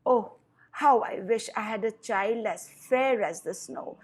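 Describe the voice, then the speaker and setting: A woman voicing a gentle, wistful young queen in a fairy tale. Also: a little high, slow, breathy edge.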